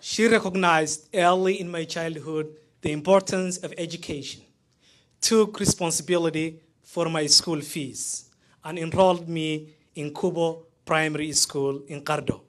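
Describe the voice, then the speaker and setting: A man in his thirties from Somalia, speaking with a Somali accent as he gives a prepared, heartfelt graduation speech, pausing between phrases. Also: fairly high pitch, steady tone, a little hiss or hum. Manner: measured, heartfelt delivery with pauses between phrases.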